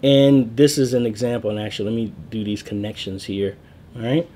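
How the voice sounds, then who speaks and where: A man's voice: lowish voice, steady tone, a little fast, mellow. A man in his thirties giving a casual screen-share tutorial on a church network, explaining step by step with fillers and small corrections, recorded on a webcam indoors.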